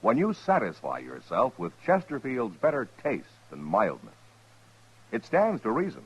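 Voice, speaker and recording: An American man with a husky voice reading a 1950s radio commercial as announcer. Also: mid-range voice, huge pitch swings, moderate pace. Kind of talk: 1950s radio advertising read. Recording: dull old radio recording.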